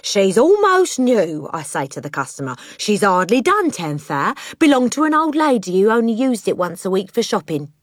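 A woman's voice: mid-range voice, very animated, normal speed, hardly any pauses, dry recording, studio quality, pitched above the performer's own voice. A woman playing a swaggering, boastful crooked father. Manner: swaggering, emphatic, slangy. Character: boastful, bullying crooked used-car dealer father. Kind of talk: children's audiobook character voice.

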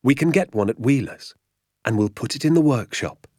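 Storybook dad, played by a man, giddy with triumph and boastful, emphatic, relishing every word, wildly varied pitch. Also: animated, moderate pace, darkish tone, no room sound, studio quality, natural voice. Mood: grandly emphatic. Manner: grandly emphatic, stretches words with relish.

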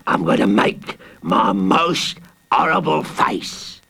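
A man voicing a blustering fanged villain jailer with a soft heart and a raspy voice, in a storybook reading. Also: mid-range voice, big pitch swings, slow, full character voice. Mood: menacing. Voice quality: raspy, gravelly. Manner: blustery, stammering.

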